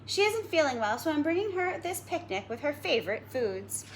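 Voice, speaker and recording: Woman playing a high-voiced, innocent little girl. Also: big pitch swings, normal speed, slight background noise, rough recording. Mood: sweet, cheerful. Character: sweet, thoughtful, trusting little girl.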